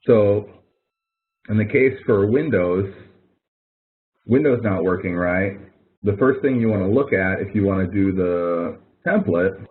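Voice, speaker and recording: Deep-voiced man lecturing over a tinny line. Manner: conversational, explains with examples, self-corrects. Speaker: instructor. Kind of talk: recorded webinar lecture. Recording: tinny phone-like webinar audio.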